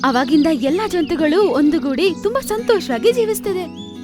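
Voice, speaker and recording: A woman's voice: very high voice, lively intonation, normal speed, over music. A woman narrating a scripted animal story for children in a storyteller's style.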